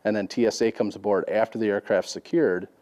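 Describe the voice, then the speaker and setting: A man's voice: lowish voice, steady tone, fairly quick, a little mellow. A man giving unscripted answers in a news interview. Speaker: interviewee, whistleblower.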